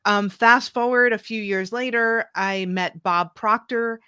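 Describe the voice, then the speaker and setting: A woman's voice: on the high side, unhurried, bright, forceful tone, smooth, no room sound, studio quality. A woman coach in her thirties, a guest telling her story in an earnest, reflective way. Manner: earnest, reflective, restarts and fillers. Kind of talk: conversational interview.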